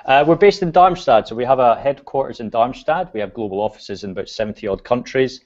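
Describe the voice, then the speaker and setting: A Scottish man, a guest on a video call show, chatting casually with relaxed fillers and restarts. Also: medium pitch, a little fast. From Scotland. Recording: dull lo-fi video call audio.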